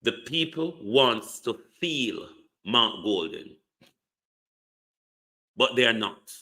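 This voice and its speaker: Man, political commentary, emphatic, slow delivery, bright tone. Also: mid-range voice, expressive. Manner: emphatic, repeats the addressee's name, rhetorical questions. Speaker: online commentator.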